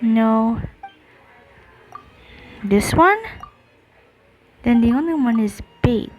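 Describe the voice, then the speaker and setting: Slow, higher-pitched woman reading aloud. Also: expressive, a little mellow, low-quality recording. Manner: halting reading, self-correcting. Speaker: game streamer. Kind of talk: reading game dialogue aloud during gameplay.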